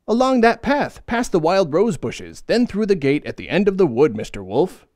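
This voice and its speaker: A man's voice: high-pitched, very animated, normal speed, a little mellow, no echo, clean studio sound. A man voicing a sweet, trusting little girl in a storybook reading, in a raised sing-song tone. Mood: innocent.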